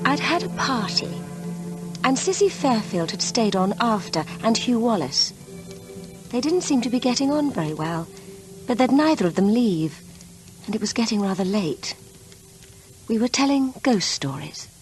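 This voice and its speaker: A hostess narrating a radio ghost story. Her voice is rather high and varied in pitch, conversational and reflective, with clear music behind it. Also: sing-song, normal speed, pauses often. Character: English party hostess recalling an evening of ghost stories.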